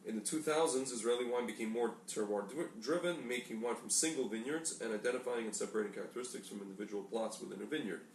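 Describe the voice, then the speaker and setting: A man's voice: medium pitch, fast, hardly any pauses. A man co-hosting a casual talk show, reading aloud from documents and often stumbling and correcting himself. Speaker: podcast co-host. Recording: echoey room, distant mic, faint noise.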